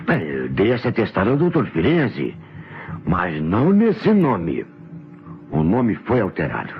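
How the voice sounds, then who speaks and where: A Brazilian actor playing an excitable, flustered doctor giving hesitant testimony in an old radio mystery. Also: male voice, sits low, a little slow, heavily acted. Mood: flustered, agreeing. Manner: animated, hesitant admissions. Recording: dull, noisy old radio recording.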